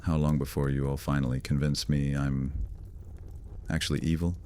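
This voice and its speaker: An actor playing a brooding, sardonic vampire lord in a horror audio drama, speaking in a theatrical, deliberate, taunting manner. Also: male voice, very deep voice, level tone, dark tone, a little hiss or hum. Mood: bitter, accusing.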